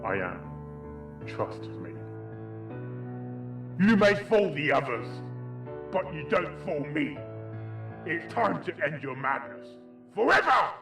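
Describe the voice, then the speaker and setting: A man's voice: fairly high pitch, very animated, slow, creaky, pauses often, fairly bright tone, echoey room, faint music behind, voice pushed up, full character voice. A man playing a determined male pony doctor and exorcist, commanding and dramatic, in a story reading. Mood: resolute.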